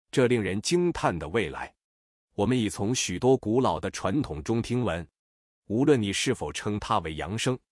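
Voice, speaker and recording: A man's voice: lowish voice, dry recording, clean studio sound. A man dubbing an earnest, impassioned advocate in a documentary monologue.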